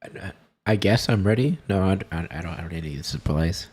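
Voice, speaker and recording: A man's voice: low-pitched, lively intonation, fairly quick, darkish tone, husky. A man taking part in a tabletop game as a player, speaking casually and tentatively. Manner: hesitant, tentative.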